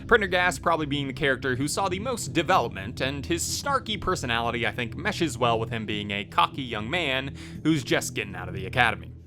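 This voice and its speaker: A man's voice: medium pitch, expressive, a little fast, hardly any pauses. A man hosting a video, delivering scripted review narration into a close voice-over mic. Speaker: host, game reviewer. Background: faint music.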